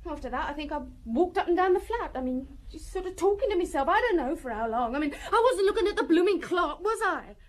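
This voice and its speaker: Young working-class moll, radio play, high pitch, grieving, defiant, blunt colloquial talk. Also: female voice, lively intonation, fast talker, hardly any pauses. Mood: shaken, defensive. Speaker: actress in radio drama. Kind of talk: radio drama acting.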